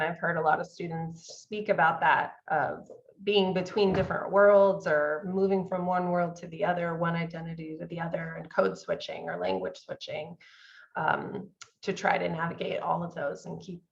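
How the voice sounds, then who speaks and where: A woman with a lowish, creaky voice moderates a discussion. She is encouraging and affirming toward speakers, and her audio sounds dull and lo-fi. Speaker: panel moderator.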